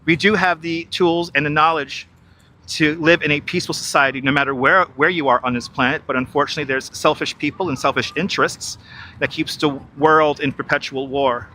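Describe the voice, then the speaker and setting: A man's voice: on the high side, fairly even intonation, brisk, bright, forceful tone. Chatty New man streaming outdoors. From New York. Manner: chatty, repeats himself, reads and answers viewer chat. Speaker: live streamer. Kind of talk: walking live stream chatting with viewers. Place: outdoors along a river. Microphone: wireless clip-on mic.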